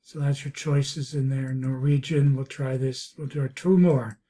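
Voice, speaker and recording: A man hosting a casual software demo video, talking in a rambling way and repeating himself. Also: medium pitch, fairly even intonation, unhurried. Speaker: video host, reviewer. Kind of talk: casual screen-recorded software demo narration.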